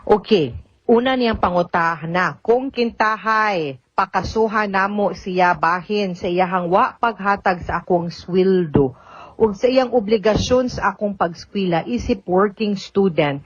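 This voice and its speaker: Female radio advice host, Cebuano Bisaya accent, mid pitch, emphatic conversational delivery, dry lo-fi sound. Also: dry recording. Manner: conversational, emphatic, with interjections and English code-switching.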